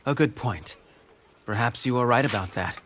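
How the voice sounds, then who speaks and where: An actor playing a composed, thoughtful young military strategist in a video game, speaking formally and deliberately. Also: male voice, medium pitch, a bit bright, a little hiss or hum, close to an everyday voice. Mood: gentle, reflective. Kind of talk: dramatic video game cutscene acting. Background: music.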